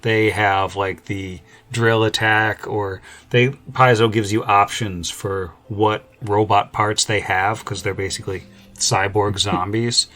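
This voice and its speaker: A man's voice: on the low side, fairly even intonation, slow pace, few pauses, sharp tone. A man chatting casually as the game master on a podcast panel. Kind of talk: casual chat.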